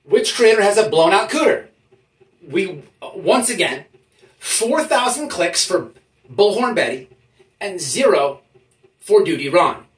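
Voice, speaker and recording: The voice sits back from the mic in an echoey room. It belongs to a YouTube host delivering mock-serious commentary, with pitch that swings widely and an emphatic, official-sounding delivery that halts for effect. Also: big pitch swings, a little slow, long pauses. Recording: roomy echo, distant from the mic.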